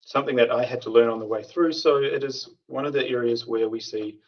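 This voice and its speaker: A man giving a prepared tech conference talk in a measured way, with frequent filler sounds. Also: mid-range voice, fairly even intonation. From New Zealand. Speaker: conference speaker, software architect. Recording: distant, dull remote call audio.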